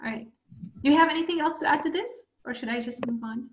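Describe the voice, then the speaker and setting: A woman's voice: high-pitched, quick pace, roomy sound, dull audio, a little hiss or hum. A woman from Syria, talking casually about lessons she has learned, with many fillers. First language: Arabic. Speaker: speaker. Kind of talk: casual talk sharing lessons.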